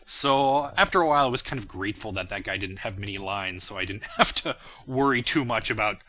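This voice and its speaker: A young man with a strikingly bright, sharp voice gives an informal commentary on his own work. He rambles reflectively and pokes fun at himself. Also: on the low side, fairly quick. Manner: self-deprecating, rambling, reflective. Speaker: creator of a fan parody series, commentator.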